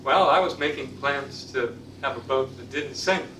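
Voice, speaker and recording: A man answering questions at a club meeting in a slow, wry, chuckling way. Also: medium pitch. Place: meeting room. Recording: echoey room, far off, loud background noise.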